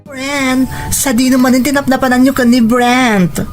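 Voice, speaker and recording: A woman's voice: high voice, fairly even intonation, normal speed, loud music behind the voice, lightly acted. A woman playing a blunt, protective young friend in a scripted audio drama. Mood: skeptical, warning.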